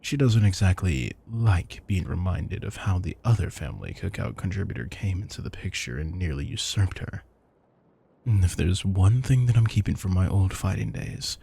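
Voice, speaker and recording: A man's voice: deep voice, lively intonation, moderate pace, gravelly, dark tone, slightly rough, heavily acted. A man playing an affectionate, theatrical retired supervillain turned doting house husband, teasing and mock-grandiose in an intimate audio roleplay. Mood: playful, competitive.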